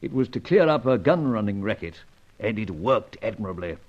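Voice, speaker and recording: Animated, urbane spy chief. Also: male voice, lowish voice, fairly quick, muffled recording. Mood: genial, reminiscing. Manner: genial, urbane, old-fashioned upper-class phrasing. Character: genial, urbane British gentleman spy chief. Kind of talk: old-time radio serial acting.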